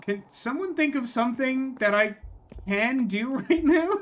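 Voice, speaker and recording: A man's voice: extremely high pitch, expressive, measured pace, a bit bright. A man streaming a game with casual, wry commentary, rambling as he thinks aloud.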